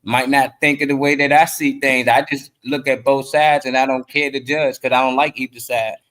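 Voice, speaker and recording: A man's voice: mid-range voice, level tone, normal speed, a bit bright. A man giving his opinions casually on a talk show, conversational, arguing by example and quoting others.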